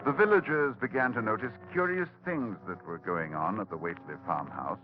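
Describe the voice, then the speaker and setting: Grave low-voiced radio drama narrator. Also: male voice, over music. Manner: grave, measured storytelling. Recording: dull old radio broadcast.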